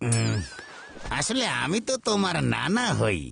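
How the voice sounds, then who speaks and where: A man's voice: fairly high pitch, big pitch swings, unhurried, creaky, fairly bright tone, slight background noise, full character voice. An actor voicing a playful, scheming old grandfather in a Bengali cartoon dub, talking in a conspiratorial, chuckling way. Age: older.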